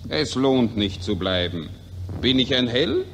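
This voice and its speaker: Vain war correspondent, swinging intonation, rhymed satire, clearly noisy 1940s radio recording. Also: male voice, medium pitch, big pitch swings, unhurried, a bit bright. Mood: callous.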